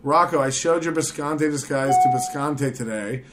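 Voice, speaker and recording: A man's voice: medium pitch, normal speed, very noisy. A man hosting a live stream, reading viewer messages aloud with frequent laughter in casual banter. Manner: reads paid chat aloud, laughing often. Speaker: host reading chat messages.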